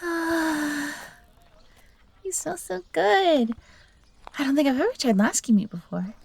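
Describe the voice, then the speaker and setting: A woman voicing a young fox girl, timid but eager and grateful, soft and breathy, in a scripted audio roleplay. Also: high-pitched, lively intonation, slow, no echo, exaggerated voice. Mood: delighted, eager.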